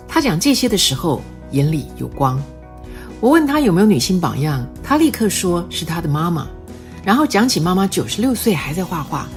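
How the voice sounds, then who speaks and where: A woman in her sixties narrating a reflective, heartfelt voice-over. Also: fairly low pitch. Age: 60s. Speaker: host. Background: soft music.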